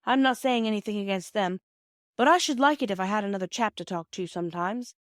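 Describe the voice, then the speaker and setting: An earnest British schoolboy, played by a woman reading a storybook, pitched high and pushed a little louder. He talks in old-fashioned slang with a pleading, boyish eagerness, recorded dry and close. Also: fast, dry recording, close to an everyday voice. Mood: eager, earnest. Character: eager, earnest English schoolboy, her son.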